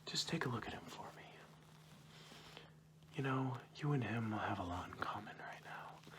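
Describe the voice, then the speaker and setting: A man playing a goofy, menacing kidnapper in an ASMR roleplay, coaxing and mock-reassuring in a breathy, hushed whisper. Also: fairly low pitch, voice pushed up. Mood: playful menace. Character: goofy menacing male kidnapper soothing his captive to sleep.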